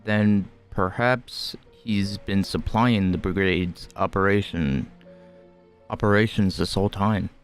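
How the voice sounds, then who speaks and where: A man's voice: deep voice, steady tone, takes it slowly, clean tone. A man doing a casual game playthrough, reading out dialogue choices and commenting. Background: game music.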